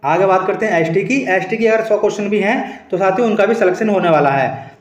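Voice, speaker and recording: A man's voice: high-pitched, fast. A man presenting an exam update as a coaching host, explaining in a repetitive way and often addressing his listeners directly. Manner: repeatedly addresses listeners as friends, repeats points for emphasis. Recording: dull, lo-fi, echoey room recording.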